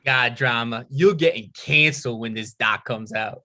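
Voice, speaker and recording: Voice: mid-range voice, moderate pace, pushed and bright, natural voice. An actor playing a dim young male slacker sidekick, casual and griping, in a comedy table read.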